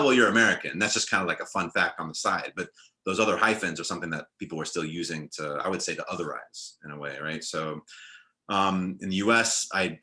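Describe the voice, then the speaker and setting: A young man on a video call panel, talking in a relaxed, reflective way with many fillers and restarts. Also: low voice. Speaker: film director and panelist.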